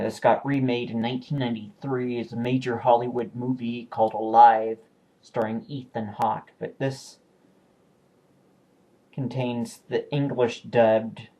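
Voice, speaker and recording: A man talks in an easygoing, unscripted way about his collection of discs. His voice sits at an ordinary middle pitch. He pauses often and corrects himself mid-sentence. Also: dark tone, low-quality recording. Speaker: video collector showing new purchases. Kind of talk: casual home video review.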